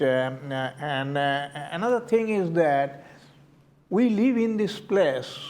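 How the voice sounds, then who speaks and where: An older man with an Indian accent talks through his answers slowly, pausing often on fillers and restarting his sentences. His voice sits a little high for a man. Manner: hesitant, many fillers and restarts. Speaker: community board member. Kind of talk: interview answers.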